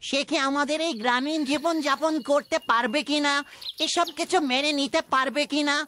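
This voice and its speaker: A woman's voice: high-pitched, a bit bright, a little hiss or hum. A woman voicing a stern, proud village father in a cartoon, speaking firmly. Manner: firm, declarative scolding. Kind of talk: cartoon dubbing. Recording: music bed behind voice.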